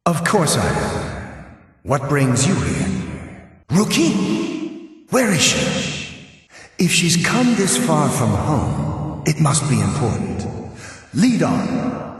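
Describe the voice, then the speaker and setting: A man playing a wary, plain-spoken soldier's ghost in a video game, his voice under ghostly reverb. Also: fairly high pitch. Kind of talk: video game character voice acting. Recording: echoing reverb, distant.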